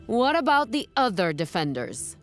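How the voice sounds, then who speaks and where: A tough, battle-hardened colonel in a sci-fi war game. Her delivery is clipped and commanding, with lively swings in pitch, over a music bed. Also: normal speed. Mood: questioning, resolute. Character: tough, battle-hardened female colonel leading infantry against alien bugs. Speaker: military field officer in a strategy game. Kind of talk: video game cutscene acting.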